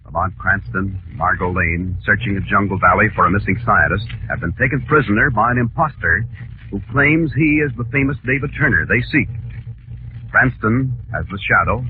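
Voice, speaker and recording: A man's voice: fairly low pitch, moderate pace. Male vintage radio narrator, dramatic scripted read, narrow dull sound, prominent music bed. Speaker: narrator, announcer. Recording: muffled old radio, music underneath.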